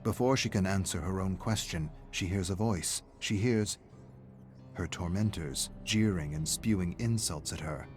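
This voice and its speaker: A middle-aged man giving measured, theatrical scripted narration of a dramatic story. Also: low voice, darkish tone, faint music behind.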